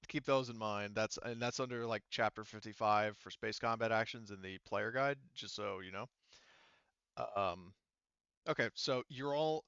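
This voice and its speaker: A man's voice: mid-range voice, fairly bright tone, smooth, no echo, lo-fi sound. A man explaining tabletop game rules as game master, casually rambling and thinking aloud.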